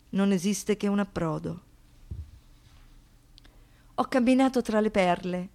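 An actress playing an anguished survivor of violence in a poetic radio monologue, speaking in halting phrases that shift between hush and outburst. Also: female voice, medium pitch. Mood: dreamy, sombre. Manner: halting short phrases, dropping to whispers, rising to outbursts.

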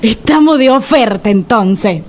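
A woman's voice: on the high side, lively intonation, measured pace, over loud music. An actress with a Rioplatense Spanish accent playing a nervous, suspicious woman who has just separated. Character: anxious newly separated woman, Cecilia.

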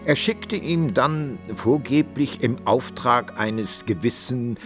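A man narrating a literary radio play as a storyteller, in measured, old-fashioned wording. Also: medium pitch, lively intonation, a bit bright, faint music behind.

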